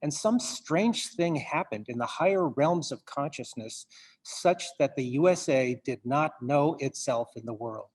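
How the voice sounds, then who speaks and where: A man, a playwright, addresses the audience in a theatrical monologue, wry and halting, given to wordplay. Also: medium pitch, animated, a little mellow.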